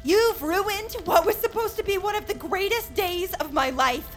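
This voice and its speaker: A woman's voice: very high voice, normal speed, music in the background. A woman playing an earnest, exasperated teenage girl leading monster fighters in an audio drama, urgent and emphatic. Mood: fed up. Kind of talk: audio fiction acting.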